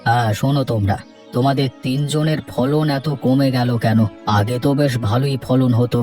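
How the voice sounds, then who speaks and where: A man's voice: mid-range voice, steady tone, moderate pace, a little mellow, soft background music, natural voice. A man voicing a stern, businesslike village headman in a cartoon, with a commanding, questioning way of talking. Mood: concerned, commanding. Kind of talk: cartoon voice acting.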